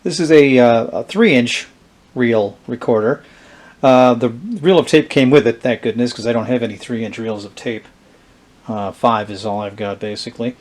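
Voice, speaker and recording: Casual, halting man demonstrating old gear. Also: medium pitch, a little mellow. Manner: halting, unhurried, thinking aloud. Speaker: vintage electronics collector presenting his gear. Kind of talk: casual product demonstration vlog.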